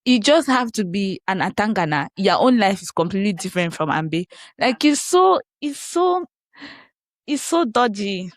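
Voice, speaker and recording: A woman's voice: medium pitch, big pitch swings, normal speed, dry recording, studio quality. A woman co-hosting a podcast in casual chat, frank and opinionated, often chiming in with agreement. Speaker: podcast co-host.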